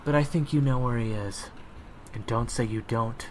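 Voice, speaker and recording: A man's voice: mid-range voice, moderate pace, a little hiss or hum, natural voice. A man playing a wry, level-headed team member. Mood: firm. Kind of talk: audio drama acting.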